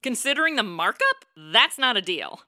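A woman's voice: a little high, big pitch swings, normal speed, pushed and bright, full character voice. An actress playing a sarcastic, wisecracking career woman in a comedic radio play, blunt and irreverent. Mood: dry, blunt.

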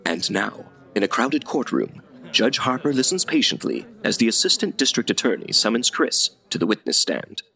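A man playing a formal, measured, drily ironic narrator in old-time radio theater style. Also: medium pitch, big pitch swings, gravelly, full character voice. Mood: formal, wry. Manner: measured, formal, dry irony. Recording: loud music under the voice.

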